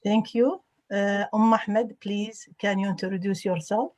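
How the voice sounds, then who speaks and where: A woman from Iraq, an adult education instructor, hosting a roundtable and calling on guests politely, with halting speech and many fillers. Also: medium pitch, steady tone, darkish tone, dry recording. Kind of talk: formal roundtable introductions.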